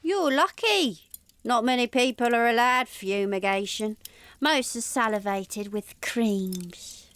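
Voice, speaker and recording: High-voiced, unhurried, matter-of-fact Georgian nurse. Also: female voice, lively intonation, a bit bright, dry recording. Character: brisk, offhand 18th-century hospital nurse, falsely reassuring. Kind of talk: audio drama dialogue.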